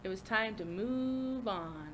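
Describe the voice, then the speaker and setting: Woman off camera reading out chat comments, plain delivery, kinda high voice, faint rumble behind. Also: animated, takes it slowly, lo-fi sound.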